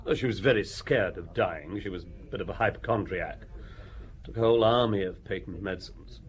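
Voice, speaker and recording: An actor playing an aloof, drily mocking gentleman in a radio drama, with curt, evasive answers. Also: low voice, fairly quick, close to an everyday voice. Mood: dry.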